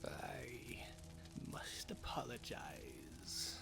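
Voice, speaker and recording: A woman voicing a sly creature of the night in a fantasy audio roleplay, theatrical and mockingly reverent, dropping into breathy tones. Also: very deep voice, big pitch swings, extremely slow, whispered, over music, full character voice. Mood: mock reverent.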